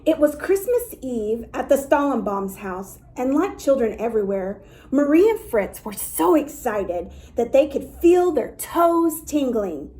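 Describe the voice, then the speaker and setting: A woman reading a story aloud to young children in an expressive way, with little asides to her listeners. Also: high voice, measured pace, hardly any pauses. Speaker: storytime reader for a dance academy.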